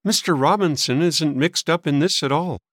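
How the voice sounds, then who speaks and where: Man voicing an earnest, polite teenage boy, pitched up. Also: moderate pace, fairly bright tone, no echo, studio quality. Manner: polite, respectful, confident. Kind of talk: audiobook character voice.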